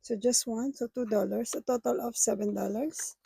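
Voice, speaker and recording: A woman whose first language is Filipino chats casually with viewers as a budgeting vlogger, speaking in a halting, hesitant way. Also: a little high, fairly even intonation, creaky, dark tone, breathy edge, dry recording.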